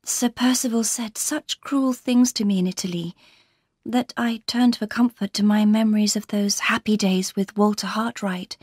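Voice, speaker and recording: A woman voicing a frightened, unhappy young wife in an audiobook, confiding in a breathy, intense half-whisper. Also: mid-range voice, steady tone, moderate pace, dry recording, clean studio sound, pitched above the performer's own voice, close to an everyday voice. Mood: anguished confiding.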